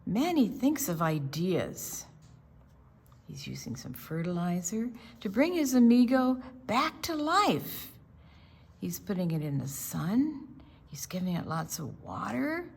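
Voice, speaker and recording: An older woman reading a picture book aloud to children, expressively, pausing to explain the pictures. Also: mid-range voice, sing-song, creaky, breathy edge. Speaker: storytime reader.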